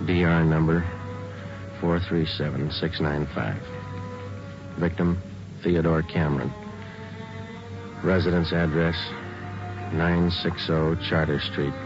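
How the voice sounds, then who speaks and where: An actor playing a weary police sergeant in 1940s American radio style, speaking in a flat, clipped, matter-of-fact way. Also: male voice, extremely low pitch, slow pace, pauses often, full character voice. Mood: flat, official. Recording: muffled old radio recording, music behind.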